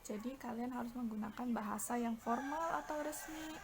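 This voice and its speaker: Woman, Indonesian accent, higher-pitched voice, English teacher, explanatory bilingual delivery, noisy background. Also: moderate pace. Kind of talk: bilingual instructional lesson. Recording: clear background noise.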